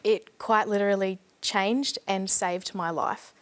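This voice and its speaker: A woman's voice: mid-range voice, steady tone, unhurried, smooth. Earnest young woman reflecting. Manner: earnest, reflective, speaking softly at hard points. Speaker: studio guest. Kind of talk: studio discussion.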